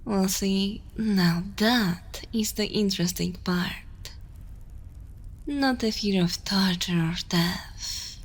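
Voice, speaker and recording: An evil queen acted by a woman speaks extremely slowly and with total command. She is seductive and menacing with a motherly edge, often sinking into intimate whispers in an ASMR roleplay style. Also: mid-range voice, breathy edge. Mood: teasing menace. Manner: slow, deliberate, dominant, often dropping into whispers.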